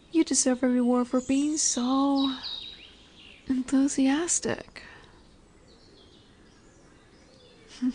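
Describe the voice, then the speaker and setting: Lady playing a snake monster girl, asmr roleplay, high mellow voice, bossy but tender, whispery, birds in the background. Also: slight background noise. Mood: tender, possessive. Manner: soft, commanding, soothing, often dropping into whispers. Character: dominant, tender female lamia, snake-bodied forest monster. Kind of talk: scripted ASMR roleplay audio drama.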